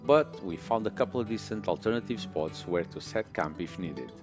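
A man narrating his sailing trip as a travel vlog voice-over, in a relaxed tone with wry understatement. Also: low voice, moderate pace, lo-fi sound. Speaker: boating vlogger. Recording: music playing underneath.

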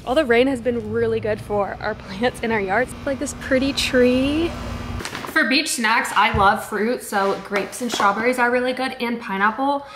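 Bubbly young woman vlogging, high voice. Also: moderate pace, fairly bright tone. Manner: upbeat, gushing, lots of "like". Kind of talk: casual vlog narration. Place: home and patio, indoors and out. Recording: echoey with clear background noise.

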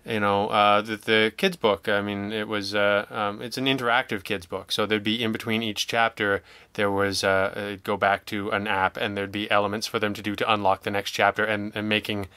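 A man in a casual interview, talking haltingly and self-deprecatingly as he acts out imagined remarks. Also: lowish voice, level tone, sharp tone, clear, resonant tone, no echo. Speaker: guest, audio drama writer and producer.

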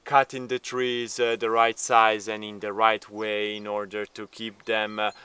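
A man's voice: lowish voice, monotone, a little slow, sharp tone, clean tone. A man in his thirties, a native Italian speaker, talking casually to camera outdoors in an olive grove with many hesitant fillers. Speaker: vlogger, homesteader. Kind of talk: casual vlog talk to camera.

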